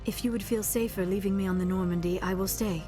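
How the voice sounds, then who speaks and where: A woman playing a gentle, earnest young alien who speaks formally and carefully. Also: mid-range voice, steady tone, fast, over music, close to an everyday voice. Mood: earnest, sad. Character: gentle, earnest young female alien, conflicted and soft-spoken. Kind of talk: game cutscene dialogue.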